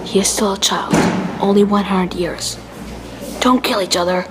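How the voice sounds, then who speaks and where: A woman's voice: medium pitch, steady tone, normal speed, heavy background noise, close to an everyday voice. A woman voicing a cruel old male elder who taunts curtly in a fantasy story. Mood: taunting.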